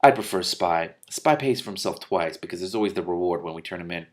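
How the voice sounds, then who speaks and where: A man voicing a shrewd, pleasant-mannered boss of thieves in a storybook, measured and calculating. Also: sits low, lively intonation.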